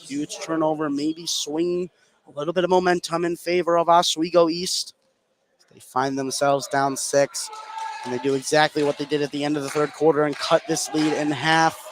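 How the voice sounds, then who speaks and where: High-pitched man calling live basketball. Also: normal speed. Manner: excited shouts on big plays. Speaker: play-by-play announcer. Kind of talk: live basketball radio commentary. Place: indoor school basketball gym, live courtside. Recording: noisy live gym broadcast.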